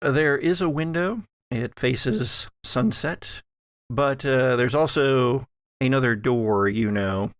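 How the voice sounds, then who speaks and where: Creaky-voiced man running a tabletop game. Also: medium pitch, dry recording, clean studio sound. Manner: halting, pauses mid-sentence while describing scenes. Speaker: game master of a horror role-playing podcast. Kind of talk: improvised tabletop game narration.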